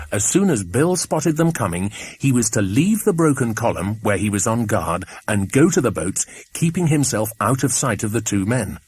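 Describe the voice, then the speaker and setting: Animated, slightly husky English male narrator. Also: fairly low pitch, no room sound. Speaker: audiobook narrator. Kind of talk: scripted children's adventure narration.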